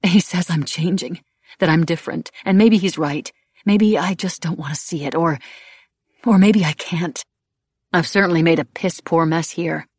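A woman voicing an irreverent, desperate young woman in an audiobook, pleading emotionally and haltingly. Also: fairly low pitch, a little mellow, husky, dry recording, studio quality. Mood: troubled, searching. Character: young woman, bitter, desperate, irreverent, praying to absent god. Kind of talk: audiobook character dialogue.

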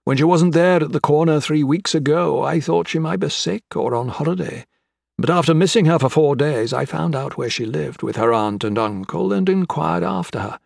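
A man voicing an older gentleman villain in an audiobook, with florid, mock-courteous, taunting speech. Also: on the high side, moderate pace, vocal fry, breathy edge, no echo, clean studio sound, pitched above the performer's own voice. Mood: wistful, sorrowful. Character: menacing, theatrical old gentleman crime boss, mocking and sentimental. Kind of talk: dramatic audiobook character acting.

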